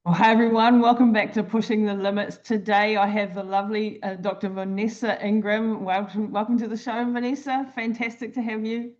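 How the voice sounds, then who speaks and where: A woman's voice: mid-range voice, little pitch movement, a little fast, hardly any pauses. A woman hosting a podcast, welcoming her guest in an enthusiastic, easygoing way. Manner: enthusiastic, warm welcomes, easy chat. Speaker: podcast host. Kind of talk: casual interview chat. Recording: dull lo-fi sound.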